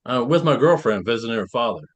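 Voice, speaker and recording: A confident teenage football star played by a man at a table read, courteous and sure of himself. His voice is medium-pitched. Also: lively intonation, fast, close to an everyday voice. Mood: respectful.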